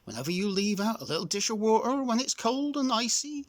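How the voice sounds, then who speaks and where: Casting: male reader as old rustic crow, kindly, folksy rambler, repeats himself, very animated pitch. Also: a little fast, dry recording. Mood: warm, grateful. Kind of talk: children's storybook character voice.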